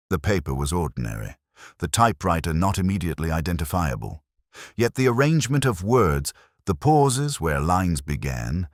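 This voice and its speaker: A man playing a reflective, world-weary police detective, narrating a mystery in the first person with measured, deliberate, literary phrasing. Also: sits low, no echo, clean studio sound.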